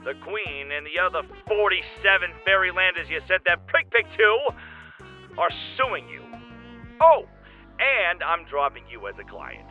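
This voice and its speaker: A curt cartoon talent manager played by a man, high-pitched and lively, on a thin telephone line. He is blunt and all business. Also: a little slow, soft background music, full character voice. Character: blunt, brisk male talent manager delivering messages. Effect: telephone filter. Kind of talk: comic dub voice acting. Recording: thin, tinny phone-line sound.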